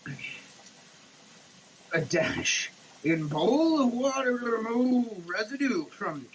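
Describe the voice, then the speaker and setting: A man giving a comedic dramatic reading of a product label, theatrical and over-emphatic, with halting delivery. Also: very high-pitched, big pitch swings, slight background noise, low-quality recording. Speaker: comedic performer.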